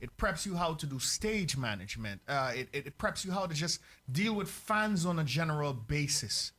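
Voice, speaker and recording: A man's voice: on the high side, very animated, moderate pace, bright, forceful tone. A man interviewing a guest, asking long earnest questions with emphasis. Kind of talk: radio interview.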